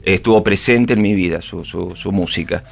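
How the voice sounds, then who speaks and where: A man's voice: low voice, fairly quick, creaky, soft background music. A middle-aged man, chatting as a guest in an interview, hesitant with many restarts and fillers. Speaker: guest musician. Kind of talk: casual interview chat.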